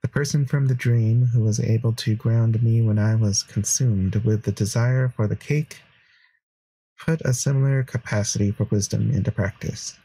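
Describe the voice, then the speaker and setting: A man's voice: on the low side, steady tone, normal speed, warm tone, breathy edge, rough recording. A man voicing an earnest, introspective wandering hero in a visual novel, musing over dreams. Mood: pensive. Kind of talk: visual novel narration and dialogue.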